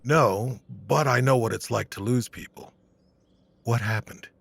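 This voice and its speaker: A man playing a stern but compassionate knight with a gravelly, husky voice in a fantasy audio drama. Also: medium pitch, measured pace, a bit bright, no room sound. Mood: sympathetic.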